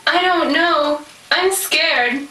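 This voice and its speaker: A trusting, timid young daughter in a puppet play, played by a woman. The voice is childlike, bright and unhurried. It carries from far off with echo. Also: high voice, full character voice. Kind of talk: puppet show stage acting. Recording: echoey room from far off, faint noise.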